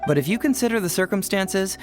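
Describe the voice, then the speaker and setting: An actor playing a sharp, playful young male detective in a video game, teasing and quizzing as he talks. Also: high-pitched, lively intonation, fast. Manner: teasing, quizzing, laughs lightly. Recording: game audio with music under it. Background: faint game music.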